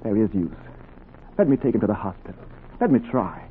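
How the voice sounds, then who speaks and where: An American actor playing an earnest, determined young surgeon in 1940s radio style, clipped and urgent. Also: male voice, lowish voice, sing-song, a little fast, slightly rough, narrow, tinny audio, full character voice. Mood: pleading, determined. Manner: clipped commands, urgent pleading. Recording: noisy, thin 1940s radio recording.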